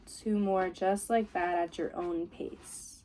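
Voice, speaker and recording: A woman leading a guided meditation as a teacher, giving gentle, soothing, unhurried instructions. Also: on the low side, steady tone, a little hiss or hum. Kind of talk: guided body scan meditation.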